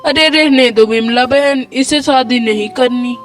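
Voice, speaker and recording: A woman's voice: sits high, unhurried. A woman voicing a kind, worried old mother in a cartoon story, with a pleading, motherly manner. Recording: music bed under the voice.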